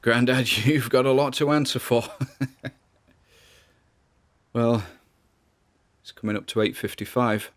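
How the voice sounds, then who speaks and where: A man's voice: mid-range voice, measured pace, long pauses, lightly acted. A man playing a nervous, wry grandson who records audio logs, in a conversational, musing audio drama monologue.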